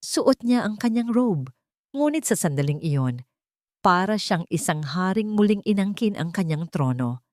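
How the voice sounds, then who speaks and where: A woman's voice: medium pitch, sing-song, measured pace, a little mellow, no echo, clean studio sound. A woman narrating a dramatic audio story with an emotive reading.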